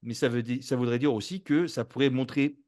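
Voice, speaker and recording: Guy hosting a casual footy fan show, mid-pitched voice, wordy and keeps rephrasing himself. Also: fairly even intonation, darkish tone. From France. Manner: halting, wordy, circles back to rephrase. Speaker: host of a football fan channel. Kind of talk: casual sports chat.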